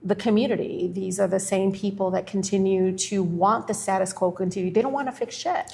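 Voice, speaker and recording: A woman's voice: mid-range voice, a little fast, creaky, mellow, slightly rough. A woman politician answering interview questions, earnest and plain-spoken, telling anecdotes. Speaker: politician guest.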